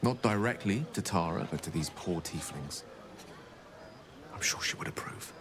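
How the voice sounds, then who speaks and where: An actor playing an eloquent wizard who reminisces wistfully in a video game. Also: male voice, low-pitched, lively intonation, normal speed, background noise. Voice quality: eloquent, theatrical.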